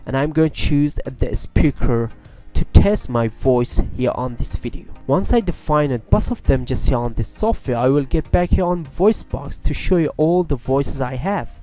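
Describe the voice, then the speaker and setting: Mellow male tutorial host. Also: mid-range voice, expressive, normal speed, faint music behind. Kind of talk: casual software tutorial voice-over. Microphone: USB microphone at a PC.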